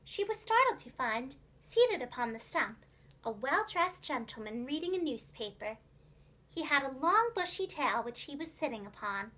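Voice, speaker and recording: Female children's storyteller: high, bright voice, expressive and clearly enunciated, scripted storybook narration. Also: moderate pace, poor audio. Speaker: preschool teacher and children's storyteller.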